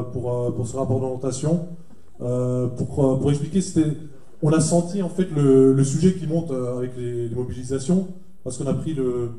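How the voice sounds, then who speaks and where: Man, Alsatian accent, very dark mellow tone, echoey hall, halting speech. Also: a little high. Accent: Alsatian French. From Alsace. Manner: halting, many fillers and repeated words. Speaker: union report rapporteur. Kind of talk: public speech at a general assembly.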